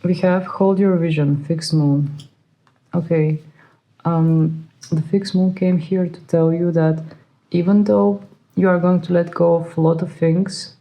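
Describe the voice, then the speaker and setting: A tarot reader who is not a native English speaker, hesitantly searching for words with many fillers and soft laughs. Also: medium pitch, rough recording. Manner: soft laughs while talking. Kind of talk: pick-a-card tarot reading.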